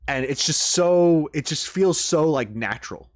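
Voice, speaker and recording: A man's voice: fairly high pitch, expressive, measured pace, no room sound, clean studio sound. Rambling, enthusiastic male reaction creator. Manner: rambling, filler-heavy, enthusiastic, swears casually. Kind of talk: casual reaction commentary.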